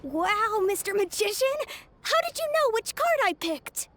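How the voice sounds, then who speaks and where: Casting: wide-eyed small boy, game role. Very high pitch, unhurried, naive, easily flustered. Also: big pitch swings, fairly bright tone, a little hiss or hum, exaggerated voice. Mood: amazed. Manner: innocent, hesitant. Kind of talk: video game voice acting. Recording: music behind the voice.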